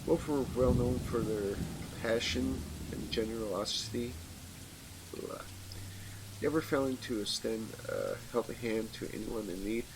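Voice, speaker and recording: A man's voice: medium pitch, a little slow, background noise. A man hosting a playthrough, reading story text aloud and commenting casually, with occasional stumbles. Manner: informal, stumbles while reading. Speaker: let's play host. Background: game music, rain.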